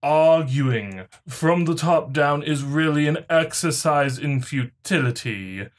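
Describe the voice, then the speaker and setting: Man acting snobby male online zealot, highish pitch, slow haughty delivery, condescending, mock dramatic reading. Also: animated. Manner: pompous, haughty, drawn-out delivery.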